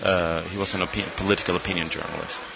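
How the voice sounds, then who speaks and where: A middle-aged man from Argentina, a guest artist in a radio interview, speaking thoughtfully with frequent pauses and fillers. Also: low voice, steady tone, vocal fry, crowd noise. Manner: thoughtful, hesitant with many fillers.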